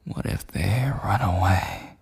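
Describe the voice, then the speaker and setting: A man's voice: sits low, slow, gravelly, slightly rough, full character voice. A man playing a clingy, insecure, possessive boyfriend in an intimate ASMR roleplay, rambling breathily and often slipping into whispers. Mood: anxious.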